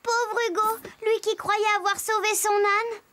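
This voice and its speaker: A woman's voice: very high voice, level tone, unhurried, very noisy. A woman voicing a chirpy, playful little storyteller who chats to the viewer in a children's cartoon. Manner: chatty, addresses the viewer. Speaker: narrator.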